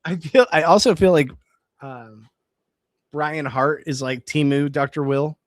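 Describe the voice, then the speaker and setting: A man hosting a late-night live stream, chatting casually with viewers, reading their comments aloud and rambling on with restarts, his voice going hoarse. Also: a little high, unhurried, no room sound. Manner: rambling, reads chat aloud, restarts words.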